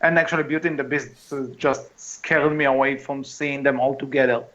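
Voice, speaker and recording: A man's voice: mid-range voice, fairly even intonation, normal speed, fairly bright tone. A man co-hosting a podcast, discussing casually and readily arguing his point. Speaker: podcast co-host. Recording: dull lo-fi home mic.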